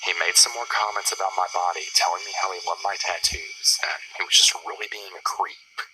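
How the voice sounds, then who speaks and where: Deep-voiced man narrating in a hush. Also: fairly even intonation, brisk, a little hiss or hum, poor audio. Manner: hushed, half-whispered telling. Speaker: story narrator. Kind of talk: scripted narration of a scary story.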